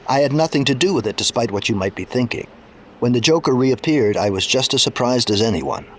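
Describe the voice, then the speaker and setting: A man's voice: medium pitch, animated, brisk, a little hiss or hum, natural voice. A man playing a middle-aged engineer and former sidekick in an audio drama, world-weary and bitter, with a casual, wry delivery. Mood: defensive.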